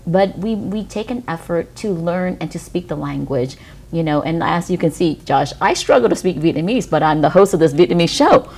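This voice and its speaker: Chatty woman TV host, lowish voice. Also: lively intonation, normal speed, creaky, a little mellow. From United States. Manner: upbeat and chatty, with fillers like "you know". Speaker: TV show host. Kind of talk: hosting a community TV talk show.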